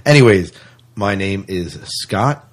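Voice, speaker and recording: A man with a very deep voice and a noticeably husky edge, chatting casually as a podcast co-host. His delivery is informal and teasing, full of playful jokes. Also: expressive, takes it slowly. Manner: joking, teasing. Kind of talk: casual chat.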